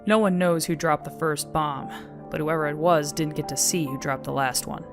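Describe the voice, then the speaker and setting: A woman performing grave, measured narration as the solemn storyteller of a post-apocalyptic audio drama. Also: deep voice, steady tone. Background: music.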